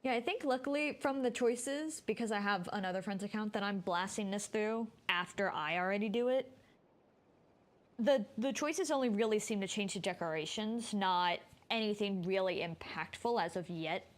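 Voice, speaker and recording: A woman's voice: mid-range voice, normal speed. A woman livestreamer giving casual, off-the-cuff commentary as she plays through a story game.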